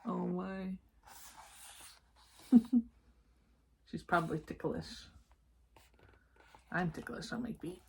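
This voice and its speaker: A woman's voice: on the low side, takes it slowly, gravelly, pauses often, darkish tone, a little hiss or hum, rough recording. A woman reading a children's story aloud expressively, adding chatty asides for young listeners.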